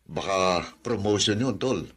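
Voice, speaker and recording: A man's voice: on the low side, a little slow, fairly bright tone, breathy edge. An actor playing a friendly, teasing male co-worker in a radio drama.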